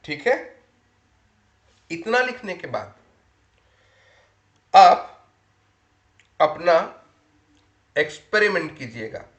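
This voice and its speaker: A man's voice: fairly high pitch, sing-song, very slow, pauses often. A man giving a step-by-step lab demonstration as a physics teacher, instructing and pointing things out as he goes. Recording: echoey room, noisy with hum.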